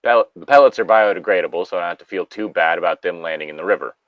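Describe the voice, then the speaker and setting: A man's voice: deep voice, very fast, dry recording, lo-fi sound. A man casually reading a story aloud as host, breaking off for joking, sweary asides.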